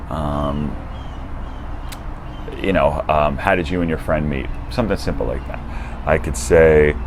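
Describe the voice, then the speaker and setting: A young man with a deep voice giving casual, energetic dating advice, swearing freely and dropping "dude" and "right?". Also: noisy background. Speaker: dating coach. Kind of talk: casual direct-to-camera advice.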